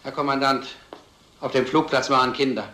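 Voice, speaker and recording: An actor playing an earnest, stubborn police detective, speaking formally and with measured insistence in an old crime film. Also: male voice, mid-range voice, steady tone, pushed and bright, lightly acted. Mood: earnest, insistent. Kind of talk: vintage German crime film acting. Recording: roomy old film soundtrack.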